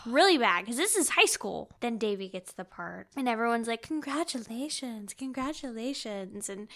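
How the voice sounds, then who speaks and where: A woman co-hosting a podcast, recapping a story in a casual, animated, slangy and jokey way. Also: a little high, normal speed. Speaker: podcast co-host recapping a novel. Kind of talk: casual chatty story recap with acted-out quotes.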